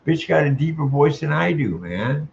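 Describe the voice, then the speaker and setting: A man ranting in a profane monologue, ending sentences with "man," chuckling, and stalling on drawn-out "uh" sounds. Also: on the high side, very animated, vocal fry, dull audio, slight background noise. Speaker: vlogger.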